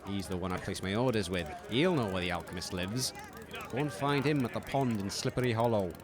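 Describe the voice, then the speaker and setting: A man playing a shifty, grudging wasteland market trader in a comic audio drama, gruff and dismissive. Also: fairly low pitch, fairly quick, natural voice. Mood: knowing, dismissive. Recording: busy outdoor crowd and vehicle sounds. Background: street crowd bustle.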